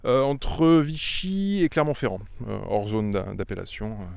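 A man's voice: mid-range voice, big pitch swings, normal speed, vocal fry, a little mellow, clean tone, no echo. A middle-aged man from Auvergne, a winemaker explaining his vineyards in a casual interview, thoughtful and often repeating words as he goes. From Auvergne, France. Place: outdoors among vineyards.

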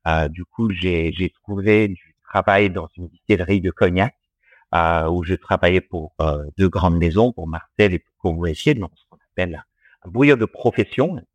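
A man with an Australian accent and a low voice tells his story in a casual interview. He hesitates often, filling gaps and restarting phrases. The sound is dry and close, with no room around him. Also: normal speed, no echo. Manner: hesitant, many fillers and restarts. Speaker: guest, distiller.

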